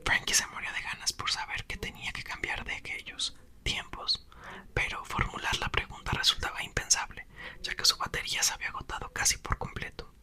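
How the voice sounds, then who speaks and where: A man softly whispering a novel aloud in an ASMR reading, close to the mic. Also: fairly quick. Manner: soft whisper, occasional self-corrections. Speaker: ASMR reader.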